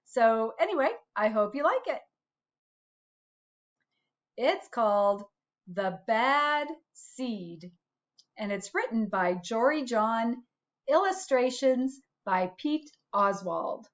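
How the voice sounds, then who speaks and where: Woman, school principal, children's read-aloud, slow pace, slightly high voice, dull lo-fi sound. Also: lively intonation. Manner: simple, addressing young children. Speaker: school principal reading to pupils.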